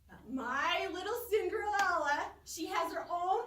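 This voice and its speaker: A middle-aged woman with a very high, bright voice tells a funny story on stage. She speaks slowly and theatrically, stretching words and pausing for effect. The recording is distant and roomy. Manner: theatrical, expressive, dramatic pauses and stretched words. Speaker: speech contest competitor. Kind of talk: humorous storytelling speech to a live audience. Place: roomy indoor space before an audience. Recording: echoey room, distant microphone, faint noise.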